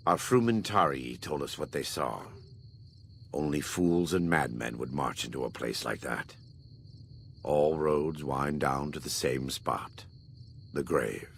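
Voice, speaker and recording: A man playing a grave, devout, burn-scarred former war leader in a video game, speaking in a solemn, measured, preacherly way with a deep gravelly voice. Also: fairly bright tone, a little hiss or hum, heavily acted. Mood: ominous. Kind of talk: video game dialogue acting.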